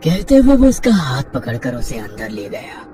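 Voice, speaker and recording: Expressive male horror narrator over music. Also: mid-range voice, normal speed, distant mic. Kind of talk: scripted horror storytelling.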